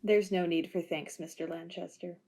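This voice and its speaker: A woman's voice: on the low side, steady tone, moderate pace, a little mellow. A woman playing sly policewoman Riley. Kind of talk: amateur audio drama acting.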